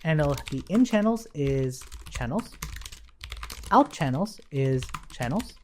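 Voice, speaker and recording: A man's voice: a little high, lively intonation, slow, a little mellow, a little hiss or hum. A man giving a casual live coding tutorial, thinking aloud in an informal, explanatory way as he works. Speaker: programming tutorial instructor.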